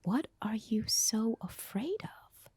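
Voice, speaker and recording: A woman voicing a cold ghostly dead woman from a dream in a breathy whisper. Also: fairly high pitch, animated, takes it slowly, dark tone, no echo, full character voice. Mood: menacing.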